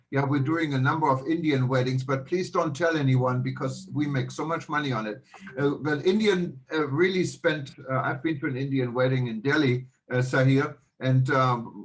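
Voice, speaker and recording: A man with a German accent hosting an online panel, friendly and a bit rambling, with many restarts. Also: medium pitch. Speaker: panel host.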